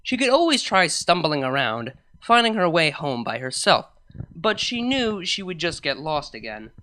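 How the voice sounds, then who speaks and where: A woman reading a scripted fan fiction story as narrator, in an expressive storytelling manner. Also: deep voice.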